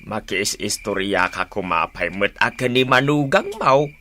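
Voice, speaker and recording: A nosy father-in-law prods insistently in a bright, sharp voice with lively swings of pitch and an Ilocano Filipino accent. Faint noise and crickets sit behind this radio drama scene. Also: a little slow. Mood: coaxing. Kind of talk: Philippine radio drama acting.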